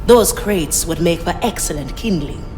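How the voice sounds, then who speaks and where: Game role: actress as bold pirate navigator woman, slightly low, dry and sardonic, long reverb over music. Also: lively intonation, normal speed, a little mellow, close to an everyday voice. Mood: wry, scheming. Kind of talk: video game voice acting.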